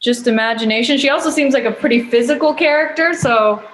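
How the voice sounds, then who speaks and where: A woman's voice: fairly high pitch, fairly quick, reverberant, slight background noise. A woman answering casually as an actor on a video call panel, informal and loose in her phrasing. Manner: informal, loosely phrased with many hedging words.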